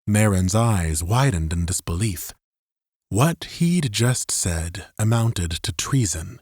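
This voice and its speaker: A man's voice: lowish voice, animated, slow, darkish tone, dry recording, clean studio sound. A man reading dramatic scripted narration as an audiobook narrator.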